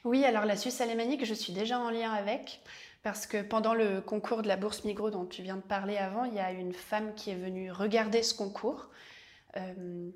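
A woman's voice: medium pitch, fairly even intonation, normal speed. A young woman actress, answering interview questions in a chatty, reflective way with restarts. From French-speaking Switzerland.

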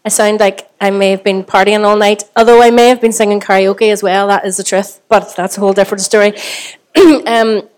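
A woman pastor with a Northern Irish accent, preaching a sermon to her congregation in a chatty way, hoarse from a cold. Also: mid-range voice, flat delivery, a little fast, darkish tone. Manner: chatty, asks the congregation rhetorical questions. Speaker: lead pastor. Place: church service.

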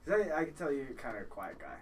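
A man's voice: mid-range voice, sing-song, fast, crowd noise. A young man hosting a casual podcast, curious and profane, jumping in with questions. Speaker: podcast host.

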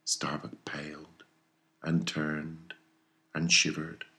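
Male audiobook narrator for classic fiction: extremely low register, crisp forceful edge, dramatic literary delivery. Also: monotone, slow pace.

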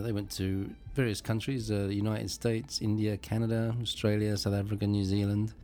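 A man's voice: fairly low pitch, warm tone. A man hosting a music radio show, presenting casually and informally with some hesitation.

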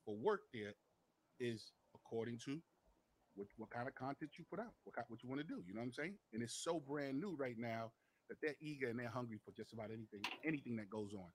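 A man's voice: mid-range voice, fast, slightly rough, low-quality recording. A man in his late forties chats and jokes with a teasing edge. His voice rises and falls a lot, sitting in a middle register. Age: 40s. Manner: joking, teasing, animated. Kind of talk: casual banter on a podcast.

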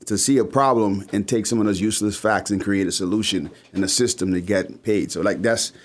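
A man in his forties from the Bahamas, an entrepreneur guest, talking fast and stumbling over repeated words. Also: on the low side. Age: 40s. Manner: talkative, repeats words as he starts. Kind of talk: casual interview chat.

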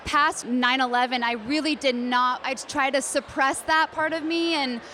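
A woman's voice: high-pitched, fairly even intonation, moderate pace, bright, forceful tone, slight background noise. A woman of Iranian heritage, telling a personal story earnestly. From Iranian family.

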